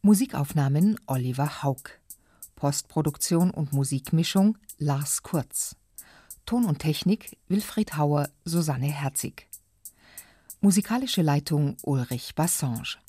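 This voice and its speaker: Low calm woman reading credits. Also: slow pace, no echo, clean studio sound. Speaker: announcer. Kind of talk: reading radio play credits.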